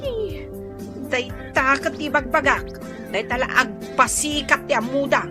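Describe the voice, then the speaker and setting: A woman's voice: sits high, measured pace. A woman playing a peevish, envious younger sister in an Ilocano Filipino radio comedy drama, with a whiny, sulky delivery. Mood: sulky, insistent. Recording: music behind the voice.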